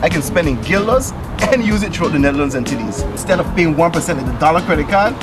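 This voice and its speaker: An enthusiastic, streetwise buddy acted by a man brags in a commercial sketch. He talks fast in a slightly high voice, with loud music playing behind him. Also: expressive, natural voice. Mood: upbeat, boastful. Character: upbeat male buddy boasting about his credit card. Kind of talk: dramatized radio-style advert dialogue. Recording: loud music bed over dialogue.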